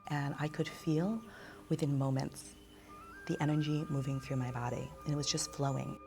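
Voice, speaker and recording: Low-voiced woman speaking over music. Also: steady tone, normal speed. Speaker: client giving a testimonial. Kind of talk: reflective personal testimonial.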